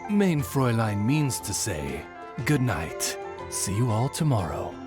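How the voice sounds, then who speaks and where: A woman's voice: rumbling low, big pitch swings, a little slow, heavily acted. A woman voicing a formal, dignified servant companion to a princess in a game cutscene, speaking in a courtly, deferential way. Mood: formal, composed. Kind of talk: video game cutscene acting. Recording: music playing behind the voice.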